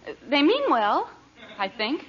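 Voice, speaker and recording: An actress playing a patient, gently managing wife and mother in a 1940s radio comedy, polite and placating. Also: high-pitched, sing-song, a little slow, noisy background. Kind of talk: 1940s radio sitcom acting. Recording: dull 1940s radio recording.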